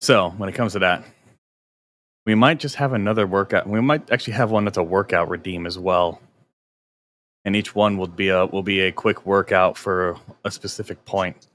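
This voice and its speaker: A man's voice: low-pitched, normal speed, fairly bright tone, slight background noise. A young adult man chatting casually on a game stream, explaining workouts in a coaching way, often restarting his sentences.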